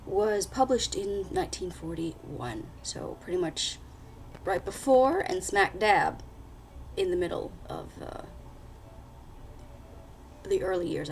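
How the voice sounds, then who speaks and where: A woman vlogging a casual book haul, chatty and full of fillers, her voice a little scratchy after being sick. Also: fairly low pitch. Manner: chatty, lots of fillers and restarts. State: slightly scratchy voice after illness. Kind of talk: casual book haul chat with read-out blurbs.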